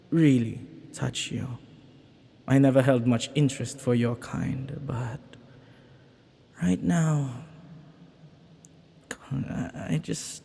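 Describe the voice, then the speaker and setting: A man's voice: mid-range voice, gravelly, a little hiss or hum. A man playing a flirty, ancient banished villain in an intimate audio roleplay, lonely and teasing, with hesitant, murmuring delivery. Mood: yearning. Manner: flirtatious, hesitant, breaking into murmurs and soft chuckles.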